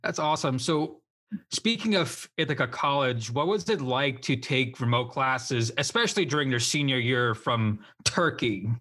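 A man's voice: mid-range voice, animated, normal speed, pushed and bright. A man hosting an interview podcast, upbeat and encouraging, asking short questions. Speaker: podcast host.

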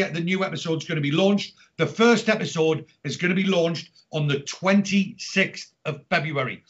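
Higher-pitched Geordie man hosting a podcast. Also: fairly bright tone. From Newcastle, north-east England. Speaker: podcast host. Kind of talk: chatty podcast introduction and announcements. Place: remote Skype call from home during lockdown. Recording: dull lo-fi internet call audio.

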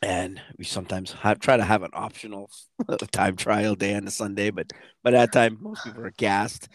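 Low-voiced man co-hosting a podcast. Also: flat delivery, moderate pace, no echo. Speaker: endurance coach and podcast co-host. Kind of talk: casual conversational podcast talk.

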